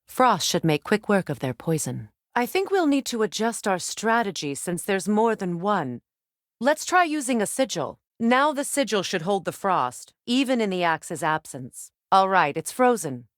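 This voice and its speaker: An actress playing a proud, wounded, wise middle-aged Norse warrior sorceress in a video game, with measured, formal, dryly sardonic phrasing. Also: female voice, medium pitch, no room sound, clean studio sound, close to an everyday voice. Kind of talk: dramatic video game character acting.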